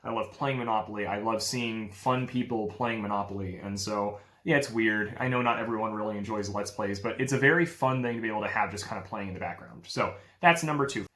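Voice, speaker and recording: A man's voice: on the low side, a little fast, few pauses, pushed and bright. A young man talking casually to camera in a vlog, upbeat and rambling, with many fillers. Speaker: real estate agent vlogger.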